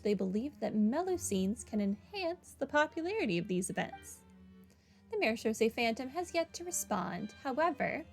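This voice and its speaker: High-voiced woman doing casual game commentary. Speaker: gaming video host. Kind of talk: casual playthrough commentary, reading game text aloud. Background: game music.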